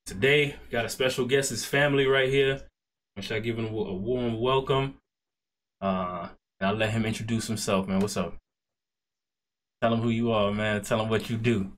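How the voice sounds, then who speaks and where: A man's voice: on the low side, fairly even intonation, moderate pace, slight background noise. A man hosting a casual interview, laid-back and encouraging, talking through an earbud headset on a video call. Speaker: host. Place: small room indoors.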